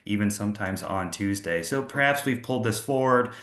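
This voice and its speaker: A man's voice: lowish voice, lively intonation, a little fast, a bit bright. A man working as a financial analyst, presenting a market outlook on a call. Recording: roomy, echoey room sound.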